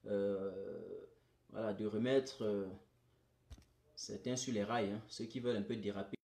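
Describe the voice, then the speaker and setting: A man's voice: fairly low pitch, steady tone, measured pace, creaky, warm tone. Emphatic man hosting a live stream. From Cameroon. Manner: emphatic, repeats phrases, addresses viewers directly. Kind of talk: political live stream commentary. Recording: roomy echo.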